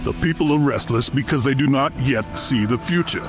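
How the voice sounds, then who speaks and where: An actor playing a stern, ruthless alien commander in an audio drama, speaking in a grave, measured, oratorical way. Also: male voice, mid-range voice, music in the background, close to an everyday voice. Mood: resolute. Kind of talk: scripted audio drama acting.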